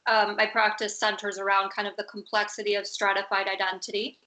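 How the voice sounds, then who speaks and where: Young woman, fashion designer, bright tone, echoey room. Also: mid-range voice, level tone, fairly quick. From United States. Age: 20s. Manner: thoughtful, hesitant, many fillers and restarts. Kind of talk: recorded video discussion.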